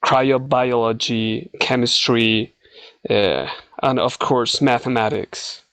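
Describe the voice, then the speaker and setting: Slow, hesitant Mexican man answering questions. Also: medium pitch, steady tone, fairly bright tone, clean tone, no room sound. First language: Spanish. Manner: hesitant, searching for words. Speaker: scientist, English learner being interviewed. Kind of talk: conversational interview answers.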